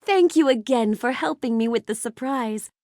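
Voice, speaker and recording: A woman's voice: a little high, normal speed, darkish tone, no echo, studio quality, lightly acted. A woman voicing a sweet, polite, bookish young fairy-tale heroine in a video game, gracious and full of earnest wonder. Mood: warm, grateful. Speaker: video game character voice actress. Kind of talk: animated game character lines addressed to the player.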